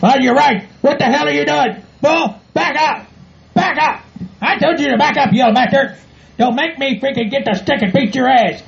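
A woman's voice: sits high, moderate pace, gravelly. A woman voicing a short-tempered rancher husband who curses and sounds groggy and dazed. The recording sounds dull and lo-fi. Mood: angry. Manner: groggy, cursing, slurring. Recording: dull lo-fi audio.

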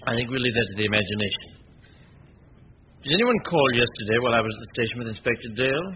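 A man playing an urbane gentleman novelist and amateur sleuth in a 1940s radio drama, with a breezy, wry manner. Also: mid-range voice, lively intonation, quick pace, natural voice. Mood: dry, irritated. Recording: dull, noisy old 1940s radio recording.

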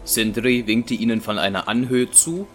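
A man's voice: medium pitch, normal speed. A man narrating a fantasy action story from a script in a dramatic storytelling style. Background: clear music.

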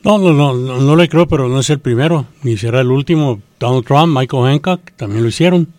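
A man of average pitch speaks as a commentator on a talk show. He gives his views conversationally, and the sound is dry with almost no room echo. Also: brisk, a little mellow. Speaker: on-air commentator.

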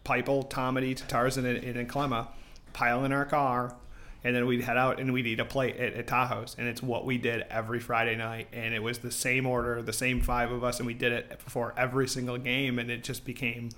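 An American man in his late thirties with a medium-pitched voice talks as a podcast guest. He tells personal stories in a chatty, informal way, as if among friends. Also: monotone, a little fast, bright, forceful tone. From Rochester, New York, United States. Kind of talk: casual anecdotal podcast chat.

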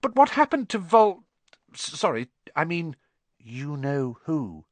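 A man voicing a timid young boy who speaks quietly and hesitantly. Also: fairly high pitch, very animated, slow pace, dry recording, pitched above the performer's own voice.

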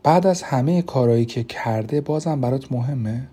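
A man's voice: mid-range voice, dark tone, breathy edge, close to an everyday voice. A man voicing a blunt young swordsman. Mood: protective. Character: protective young male swordsman.

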